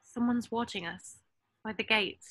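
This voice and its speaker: A woman's voice: a little high, a little slow, a bit bright. A young woman reading an anxious, watchful teenage girl witch in an amateur table read, sounding wary and concerned. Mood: hushed. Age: young adult. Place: indoors on a group video call. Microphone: video call.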